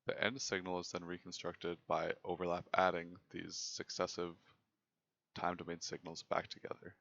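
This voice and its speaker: Low, gravelly young man presenting, dull recording. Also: monotone, normal speed, fairly bright tone. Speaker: presenter of a student software project. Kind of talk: scripted technical narration.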